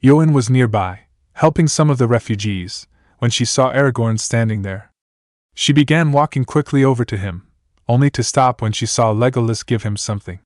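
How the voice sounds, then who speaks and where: A man reads a story aloud at a middle pitch, steady and plain in delivery. The voice is recorded dry and close, with no room sound. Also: moderate pace, no room sound. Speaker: narrator. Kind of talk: reading fan fiction aloud.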